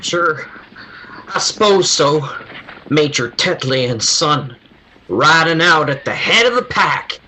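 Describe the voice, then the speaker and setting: A man's voice: a little high, animated, slow, pushed and bright, slight background noise, heavily acted, poor audio. A man playing a bitter, anguished, self-loathing young son in a radio western drama. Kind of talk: old-time radio drama acting.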